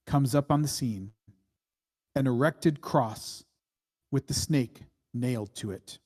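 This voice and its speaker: A man with a mid-pitched voice narrates stage directions from a screenplay. He takes his time, setting out each phrase deliberately and with a sense of drama. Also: a little mellow, slightly rough.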